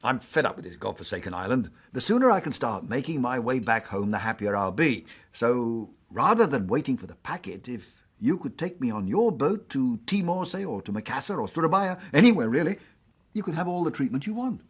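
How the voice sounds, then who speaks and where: An actor playing a courteous, world-weary, educated doctor in a radio drama, with a polite and precise way of speaking. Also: male voice, mid-range voice, very animated, brisk, breathy edge. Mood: persuasive. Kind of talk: radio drama acting with first-person narration.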